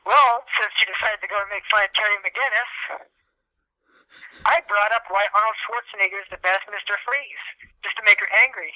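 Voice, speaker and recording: A man's voice: high voice, a little fast, pushed and bright, dry recording, rough recording. A young man co-hosting a podcast, ranting casually and comically in an exasperated, hyperbolic, profane way. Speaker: podcast co-host.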